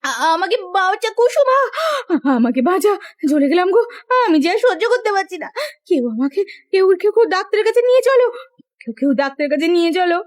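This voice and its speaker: A woman's voice: very high-pitched, very animated, quick pace. A woman playing a haughty, spiteful, melodramatic sister-in-law in a cartoon. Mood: pained, wailing. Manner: scornful, theatrical.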